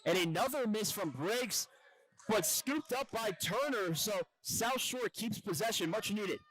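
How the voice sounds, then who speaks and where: Male play-by-play announcer, high-pitched voice, live TV basketball commentary. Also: animated, a little slow, a bit bright, poor audio.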